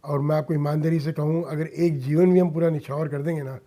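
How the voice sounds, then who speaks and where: Middle-aged male interview guest, mellow tone, fairly high pitch, earnest, conversational, English phrases mixed in. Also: fairly even intonation, fairly quick. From India. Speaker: interview guest, businessman and social worker. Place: radio studio.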